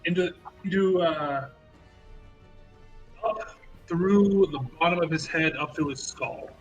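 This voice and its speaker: A man's voice: a little high, slow pace, muffled recording, faint music behind. A man playing a tabletop role-playing game, thinking aloud about tactics and describing his character's attacks. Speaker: player. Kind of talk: casual play over voice chat.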